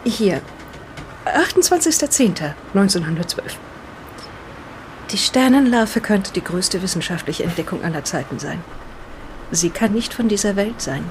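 A careful, courteous lady acted by a woman with a medium-pitched voice. She speaks in measured phrases, sometimes halting over words, in an improvised audio drama. A light vehicle noise sits behind her. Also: expressive, close to an everyday voice, rough recording. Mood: intrigued. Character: polite, careful woman reading an explorer's old diary. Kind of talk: improvised in-character audio play with diary reading.

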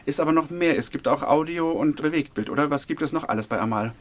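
A man's voice: on the high side, quick pace. A male host who reads guest introductions and asks short, friendly questions. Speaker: podcast host. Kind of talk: interview hosting.

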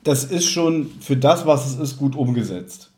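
A man chatting casually as a podcast co-host, animated and often slipping into mock voices to act out quotes. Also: mid-range voice, measured pace, echoey room. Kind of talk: casual chat reviewing an audio drama.

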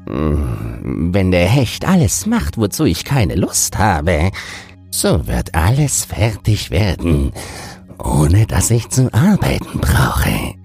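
A man puts on a lazy storybook simpleton, pitched a bit above a deep narrating voice. The fool drawls slowly and deliberately, as if every word were effort. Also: wildly swooping pitch, gravelly, a little mellow, faint music behind, heavily acted. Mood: pondering. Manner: lazy, deliberate, drawn-out delivery. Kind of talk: fairy-tale audiobook character voice.

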